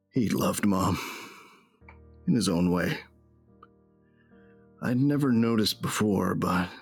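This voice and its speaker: A man's voice: deep voice, vocal fry, fairly bright tone, slightly rough, full character voice. An actor playing a grieving, hard-drinking bounty hunter who narrates his story in a subdued, weary, reflective way in an audio drama. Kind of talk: first-person audio drama narration and dialogue.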